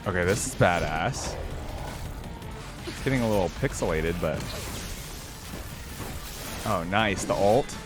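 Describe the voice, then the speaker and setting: A man's voice: deep voice, animated, unhurried, long pauses. A man hosting a reaction video in a casual, slangy, chatty and enthusiastic way. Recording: music playing behind the voice. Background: music from the videos being watched.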